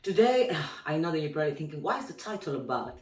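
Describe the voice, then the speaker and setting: A Spanish-speaking woman from Peru talks to camera in a loose, chatty way, full of restarts and quick laughs. Her strong Peruvian accent colors every word. The sound is roomy and slightly distant, as if the mic sits a few feet away. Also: low-pitched, very animated. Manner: chatty, many fillers and restarts, frequent laughs. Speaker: Spanish teacher. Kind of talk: casual talk to camera.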